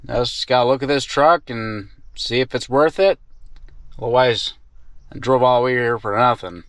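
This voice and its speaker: A man's voice: medium pitch, fairly even intonation, normal speed, no echo. A middle-aged man vlogging casually from the driver's seat, chatty and folksy with his viewers. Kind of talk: casual vlog talk to camera. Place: inside a car. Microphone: camera on the dashboard.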